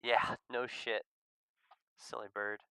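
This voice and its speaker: A man's voice: fairly low pitch, level tone, slow, creaky, sharp tone, clean tone, no room sound, heavily acted. A man voicing a nervous, lovestruck young man in a visual novel, talking in an informal, self-conscious way. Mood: amused, fond. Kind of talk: visual novel character read-aloud.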